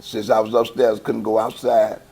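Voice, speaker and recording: An older man from Chicago with a heavy Southern-sounding accent, a gospel choir founder telling stories in a relaxed, laughing, folksy way. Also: fairly low pitch, normal speed, vocal fry. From Chicago, West Side. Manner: easygoing, laughing, folksy storytelling. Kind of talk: relaxed interview conversation.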